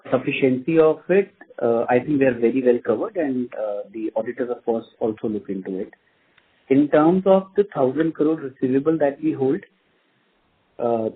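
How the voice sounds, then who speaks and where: Husky Indian man on a phone line. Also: mid-range voice. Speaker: company finance executive on an earnings call. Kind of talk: answering investor questions on a conference call. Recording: muffled narrow phone-line audio.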